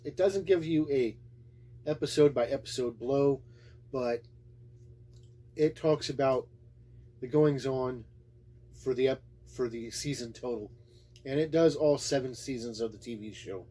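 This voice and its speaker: A man giving a casual, unscripted game review in a halting, plain-spoken way, as a reviewer talking to viewers. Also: medium pitch, dark tone, breathy edge. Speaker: YouTube tabletop game reviewer.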